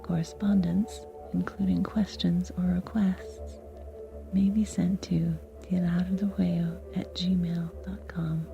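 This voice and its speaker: A woman's voice: fairly low pitch, level tone, takes it slowly, vocal fry, warm tone. A woman whispering a scripted ASMR podcast outro as the announcer. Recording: muffled, with music behind. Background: theme music.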